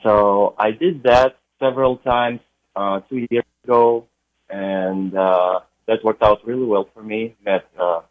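A young man with a Ukrainian accent, talking about his music in a relaxed radio interview, pausing often with "uh". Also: fairly low pitch, no room sound. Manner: unhurried, with frequent uh pauses. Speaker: DJ and radio guest. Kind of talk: interview conversation. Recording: tinny phone-like line.

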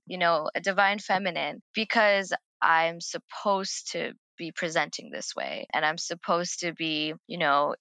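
A woman's voice: on the low side, sharp tone, no echo. A young adult woman speaking as a podcast guest, earnest and reflective, with frequent fillers. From Pakistani-American. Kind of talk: casual interview talk.

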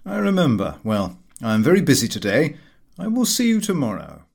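A man voicing a calm, formal older office boss in a storybook reading, courteous and stately. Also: mid-range voice, brisk, gravelly, full character voice. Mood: brisk, busy.

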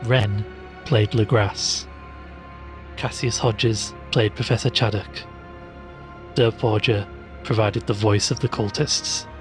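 A man's voice: fairly low pitch, steady tone, slow, pauses often, soft background music. A man delivering scripted closing credits as an announcer for an audio drama.

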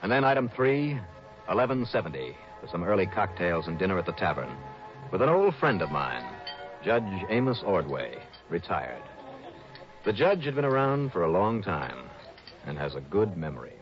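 A hardboiled investigator talks in a dry, clipped way with a deep, lively voice. The sound is dull and muffled, like an old radio broadcast, with music clearly heard behind him. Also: male voice, normal speed. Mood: narrating. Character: wry hardboiled male insurance investigator. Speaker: narrator and lead. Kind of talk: 1960s radio drama acting.

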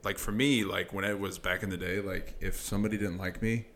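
A man's voice: sits low, fairly even intonation, brisk, a bit bright, a little hiss or hum. A man in his twenties co-hosting a podcast, telling stories in a laid-back way and laughing often. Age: 20s. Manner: laid-back storytelling, laughs often, heavy on filler likes. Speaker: podcast co-host. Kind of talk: casual chat.

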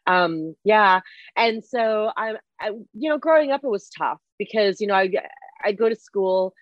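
A woman's voice: mid-range voice, a little slow, no echo, muffled recording. A young woman from Canada whose first language is Mandarin, chatting as an interview guest with many fillers and restarts. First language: Mandarin Chinese. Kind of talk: casual interview conversation. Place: Airbnb room.

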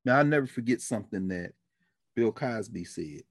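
A middle-aged man co-hosting a casual talk show, an animated storyteller who laughs easily and drops into low asides. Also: normal speed, no echo. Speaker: podcast co-host. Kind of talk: casual conversational discussion.

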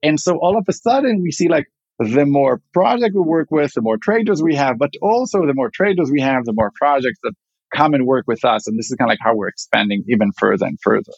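A man's voice: mid-range voice, animated, brisk, vocal fry, slightly rough, no echo, dull audio. A man with an Israeli accent, a startup founder answering interview questions in a rambling way, full of fillers and restarts.

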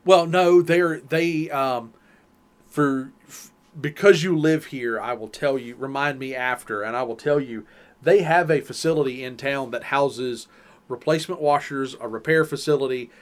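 A man with a Southern US accent co-hosting a podcast. He rambles through long explanations, restarting his sentences. His pitch sits in the middle. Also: moderate pace. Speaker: podcast co-host. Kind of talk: casual chat.

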